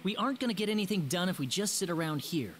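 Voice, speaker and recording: A man's voice: high-pitched, animated, fast talker, a bit bright, faint music behind. A man playing an earnest, caring young hero in a video game, with a sincere and slightly hesitant delivery. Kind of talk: English video game dub acting.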